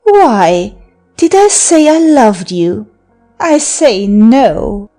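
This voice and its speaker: A woman's voice: fairly high pitch, big pitch swings, drawn-out words, warm tone, faint music behind, exaggerated voice. A woman playing a teasing, mocking seductress with magical wiles in a theatrical audiobook verse drama. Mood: scornful. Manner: theatrical, taunting, breaking into laughter and sighs.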